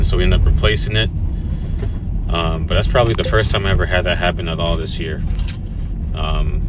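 Slow, low-voiced American man over loud noise. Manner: laid-back, halting, thinking aloud. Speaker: HVAC technician and vlogger. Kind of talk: casual work vlog narration. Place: attic beside a furnace, later inside a parked work van. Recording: noisy, with loud equipment or vehicle hum.

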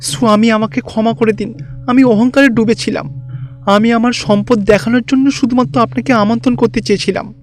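Man doing a high-pitched, panicky, pleading rich king. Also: steady tone, a little fast, soft background music. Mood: remorseful pleading. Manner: pleading, flustered, deferential. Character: frightened, pleading rich male god-king humbled by pride. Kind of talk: Bengali cartoon fairy-tale voice acting.

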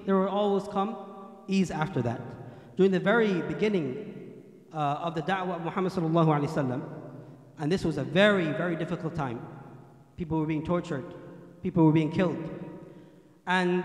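A young man delivers a Friday sermon from a mic on a stand, reciting Arabic and then explaining it in English. His voice sits high and moves fast. The hall around him is roomy and echoing. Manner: quotes Arabic hadith then translates into English. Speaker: imam. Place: mosque hall, indoors. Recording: echoey hall.

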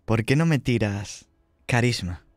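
A man acting as game master, narrating a horror scene in a deliberate, descriptive way with pauses. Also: medium pitch, expressive, no echo. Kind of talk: improvised tabletop horror narration.

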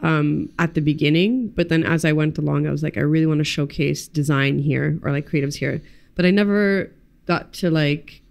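A woman, a graphic designer chatting as a podcast guest, animated and loose, swearing and dropping Arabic words into her English. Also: low voice, normal speed, gravelly, hardly any pauses. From United States. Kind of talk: casual interview chat. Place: studio room with pinned boards. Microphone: desk mic on stand, headphones.

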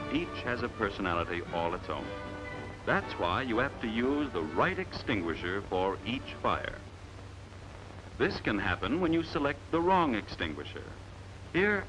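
An American man narrating a scripted 1950s training film in a measured, authoritative, emphatic manner, on a vintage soundtrack. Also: mid-range voice, low-quality recording.

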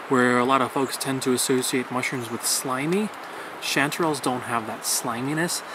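Calm man teaching in a noisy forest. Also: mid-range voice. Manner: calm, patient explaining. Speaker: forager guide. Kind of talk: outdoor teaching explanation. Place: wet forest outdoors. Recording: noisy outdoor forest recording.

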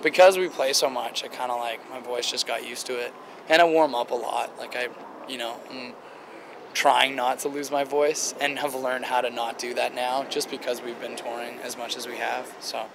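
A young man with a gravelly, slightly strained voice chats easily, filling his answers with "like". He sits at an outdoor table by a river, and faint traffic hums behind him. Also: mid-range voice, moderate pace. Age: 20s. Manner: relaxed, chatty, many "like" fillers. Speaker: band singer. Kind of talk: casual interview answers. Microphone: handheld interviewer mic near the table.

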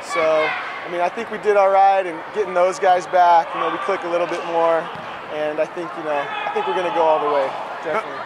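A man in his thirties, a soccer player giving relaxed, loose postgame answers on an indoor arena floor. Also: a little high, fairly quick, a crowd in the background. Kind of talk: postgame interview. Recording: noisy arena.

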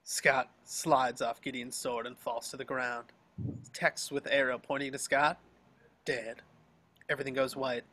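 Bright, forceful man reading stage directions. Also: mid-range voice, flat delivery, measured pace. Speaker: action description reader. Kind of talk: scripted stage-direction narration at a table read. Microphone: video call.

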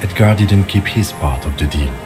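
A police detective questioning a suspect in a video game. His voice is low, composed and measured, with clearly audible music behind it. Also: expressive. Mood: measured, persuasive. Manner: measured, probing. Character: male police detective, probing, composed negotiator. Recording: music under the voice.

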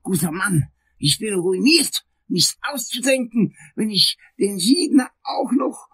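An actor playing an outraged, vengeful, pompous prosecutor in a radio drama, with a raspy voice and a theatrical, drawn-out delivery. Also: male voice, high-pitched, big pitch swings, a bit bright, heavily acted. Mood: anguished outrage. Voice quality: raspy, creaky, often hissing.

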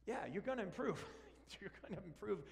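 A man in his forties from Argentina, a native Spanish speaker, preaching an animated, joking sermon while walking around the stage. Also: high-pitched, moderate pace, vocal fry. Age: 40s. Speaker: pastor. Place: large church sanctuary. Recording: long church hall reverb.

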